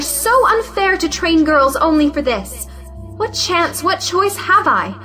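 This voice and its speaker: A woman's voice: extremely high pitch, pushed and bright, exaggerated voice. A woman playing a frank, defiant young unmarried lady, earnest and plaintive, in a theatrical audio play. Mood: despairing. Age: young adult. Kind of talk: theatrical audio drama acting of a 1917 play.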